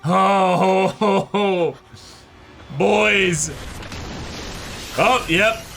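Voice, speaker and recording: A man hosting a casual reaction show, commenting live in an exclamatory, excitable way and swearing freely. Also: high voice, drawn-out words. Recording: loud show soundtrack behind voice. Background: music from the watched show.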